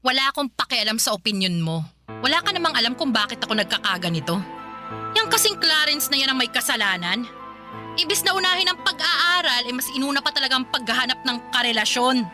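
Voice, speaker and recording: A woman's voice: high voice, very animated, brisk, music in the background. A woman playing a stern, sarcastic older sister who scolds her younger brother in a radio drama. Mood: scolding. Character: stern older sister Terry, sharp-tongued.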